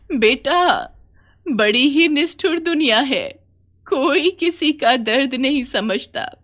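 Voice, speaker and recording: A woman's voice: fairly high pitch, fairly bright tone, voice pushed up, heavily acted. Woman acting a sorrowful, pleading old village grandmother. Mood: sorrowful, tender. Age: older. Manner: drawn-out, plaintive pleading.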